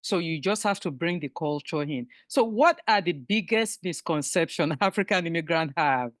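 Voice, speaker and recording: A woman's voice: fairly low pitch, lively intonation, moderate pace, no echo, clean studio sound. A middle-aged woman hosting a podcast interview, who listens closely and affirms often. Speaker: podcast host. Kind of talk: conversational interview.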